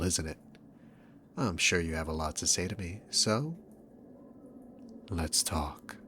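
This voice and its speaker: Man acting a deep, slow, sarcastic demon king. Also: slight background noise. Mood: smug, mocking. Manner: slow, mocking, condescending, theatrical drawl. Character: male demon king, sarcastic dominant villain toying with prey. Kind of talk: ASMR roleplay acting, addressed to the listener.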